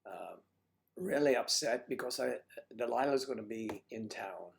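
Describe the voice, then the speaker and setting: Hoarse older man joking with viewers. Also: mid-range voice, expressive, a little slow. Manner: teasing and flirty, often drops to a soft mutter. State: hoarse, losing his voice. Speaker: livestream host. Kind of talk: casual livestream chat with viewers.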